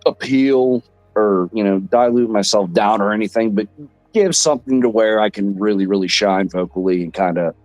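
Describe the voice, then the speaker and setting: A hoarse man, a rock singer appearing as a guest, talking in a laid-back, rambling way with many fillers. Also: on the low side, moderate pace, a little mellow, dry recording, dull audio, soft background music. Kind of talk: casual chat.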